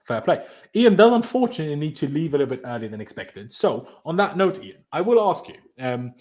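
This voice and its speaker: A chatty man from Austria whose first language is German hosts a relaxed football talk show. His voice sits a bit high for a man, and he breaks into laughter often as he talks. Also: a little fast. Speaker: host. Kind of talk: casual football podcast chat.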